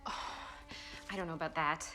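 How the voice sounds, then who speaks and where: A woman's voice: deep voice, steady tone, normal speed, pushed and bright, faint music behind, low-quality recording. An actress playing an earnest, prim young 1920s policewoman who speaks formally. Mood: bashful. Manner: earnest, flustered, formal wording.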